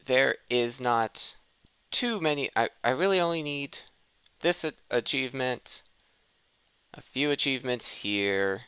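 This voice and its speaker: A man's voice: mid-range voice, measured pace, bright, forceful tone, clear, resonant tone, no room sound. A man giving casual gameplay commentary, rambling and thinking aloud as he plays. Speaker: gaming video host.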